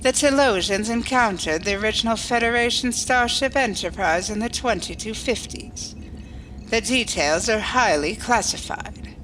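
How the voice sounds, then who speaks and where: A woman's voice: on the high side, normal speed, a bit bright, slight background noise, close to an everyday voice, poor audio. A woman playing a coolly logical alien starship officer, formal, precise and unemotional, in a science fiction audio drama. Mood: formal, detached.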